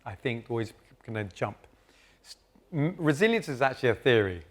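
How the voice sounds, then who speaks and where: A man moderates a conference panel in a calm, advisory tone, explaining ideas patiently. His voice sits at an ordinary middle pitch. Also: a little fast. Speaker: host and moderator. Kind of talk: panel moderation and advice at a live event. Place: conference stage.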